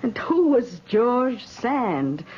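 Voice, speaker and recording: A woman's voice: fairly high pitch, sing-song, very slow, a little mellow, heavily acted. An actress playing a worried, insistent young American girlfriend in earnest 1970s radio drama style. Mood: wary, puzzled. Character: anxious, pleading, stubborn young girlfriend. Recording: dull, noisy 1970s radio broadcast.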